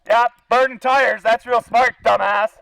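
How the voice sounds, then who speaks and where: An indignant man with a Canadian accent rants outdoors through a bullhorn. His pitch is extremely high for a man, and the sound is dry, without echo. He is sarcastic, confrontational and freely profane, speaking as an activist calling someone out. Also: fairly even intonation, a little slow, creaky, bright, forceful tone. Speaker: animal-welfare activist. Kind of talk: angry protest rant through a bullhorn. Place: outdoors by a zoo fence.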